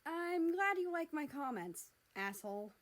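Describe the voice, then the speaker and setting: A woman's voice: high-pitched, sing-song, measured pace, pitched above the performer's own voice. Woman as a cocky, sarcastic big-brother guy. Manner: casual, sarcastic, foul-mouthed. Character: cocky, slangy, sarcastic young male country, teasing big brother.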